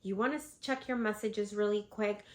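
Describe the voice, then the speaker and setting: A woman's voice: medium pitch, moderate pace. Stuffy-voiced woman giving vendor tips. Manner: chatty, animated, lots of fillers. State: stuffy, congested from a cold. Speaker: vlogger and candle seller. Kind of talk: casual advice vlog.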